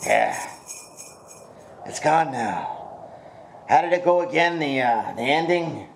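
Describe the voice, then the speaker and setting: A man's voice: mid-range voice, very animated, slow, creaky, noisy background, heavily acted. A man playing a tough, wary, wisecracking gang boss in a hard-boiled noir radio comedy, speaking tersely. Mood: resigned, suspicious.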